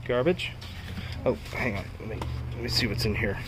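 A man's voice: medium pitch, normal speed. A man giving casual, unscripted commentary in short exclamations and questions as he goes through things, as a vlog host. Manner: short exclamations and questions, talking while rummaging. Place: storage unit. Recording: clear background noise with handling clicks.

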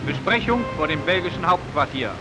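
A high-voiced male narrator delivers a wartime newsreel in the formal, declamatory style of the 1940s. Music plays behind him. The sound is that of an old film soundtrack. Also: expressive, a little fast, poor audio.

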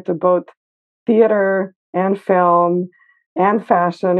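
Woman over a tinny phone-like line. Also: lowish voice. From Serbia. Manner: thoughtful, hesitant with fillers. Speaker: guest costume designer. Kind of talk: casual interview. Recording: phone-like remote call.

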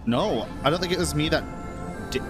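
A man in his thirties giving casual, expressive live reactions as he streams a game. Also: fairly high pitch, fairly quick. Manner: expressive, reads dialogue choices aloud. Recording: game music behind.

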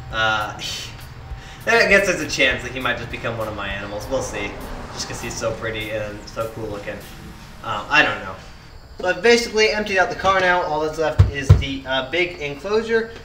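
A man's voice: mid-range voice, noisy background. Enthusiastic young man filmed outdoors from afar. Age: young adult. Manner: enthusiastic, many "uh" fillers. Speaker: reptile keeper and channel host. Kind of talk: casual vlog showing animals. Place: outdoors by an open car on a dirt lot. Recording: roomy distant sound with music underneath.

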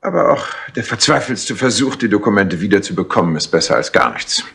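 An actor playing a harried, fussy, impatient colonel in a 1960s radio crime play. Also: male voice, lowish voice, very animated, a little fast, creaky, a bit bright, exaggerated voice. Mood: worried, impatient. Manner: fussy, exasperated, hesitant. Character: harried, impatient male colonel, worried senior official. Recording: noisy old 1960s tape recording.

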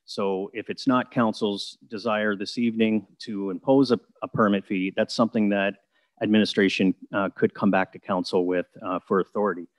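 A man with a lower voice presents a bylaw to council over a video call. He talks in a measured, procedural way, with many fillers and restarts. The sound is dry and close. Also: fairly even intonation, no echo. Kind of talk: formal council meeting presentation.

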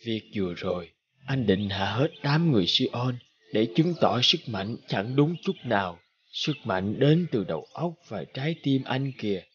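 A man voicing a wise magical horse who speaks gently and earnestly to his master in an animated fairy tale. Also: mid-range voice, normal speed, dull audio, slight background noise, close to an everyday voice. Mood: gentle reproach.

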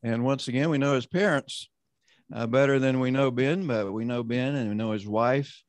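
A man hosting an online roundtable, introducing panelists and leading a prayer in a measured, conversational way. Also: medium pitch, dry recording. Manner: measured, conversational, often slowing down. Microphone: video call.

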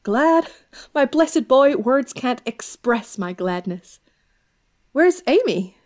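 An actress playing a spirited, blunt, affectionate young tomboy in an audio drama, exclamatory and teasing. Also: female voice, high voice, expressive, takes it slowly, darkish tone. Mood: overjoyed, astonished.

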